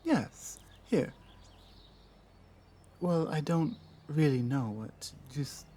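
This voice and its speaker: A woman voicing an earnest, honor-bound female orc in an ASMR roleplay, formal yet halting in manner. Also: deep voice, animated, dark tone, slightly rough, heavily acted. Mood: hesitant, agreeable.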